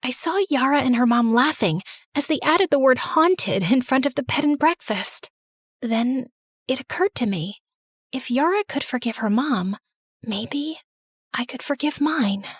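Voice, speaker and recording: A woman narrating a scripted animated story in the first person as a teenage girl, expressive and dramatic. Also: sits high, a little slow, husky, dry recording, studio quality.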